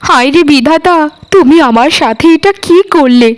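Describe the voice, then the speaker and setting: A woman's voice: high voice, moderate pace, close to an everyday voice. A woman voicing a greedy, nagging village wife in a cartoon, scolding and melodramatic. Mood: wailing, distraught. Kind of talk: Bengali cartoon voice acting. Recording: music behind the voice. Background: music.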